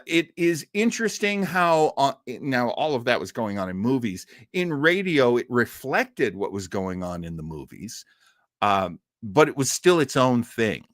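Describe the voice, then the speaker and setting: A man's voice: mid-range voice, a bit bright, no room sound. Casual storytelling host, dry close sound, man. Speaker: host of a live old-time radio listening show. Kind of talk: casual informative storytelling to an online audience.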